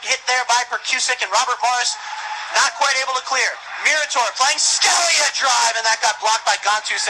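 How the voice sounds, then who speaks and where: A man calling live ice hockey play-by-play on the radio with urgent, excitable delivery. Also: very high-pitched. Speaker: play-by-play announcer. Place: ice hockey rink broadcast position. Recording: noisy arena radio broadcast.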